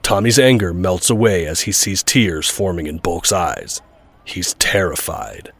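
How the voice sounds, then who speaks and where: A man's voice: deep voice, expressive, measured pace. A man narrating a scripted audio drama, describing the action. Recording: clear urban background noise.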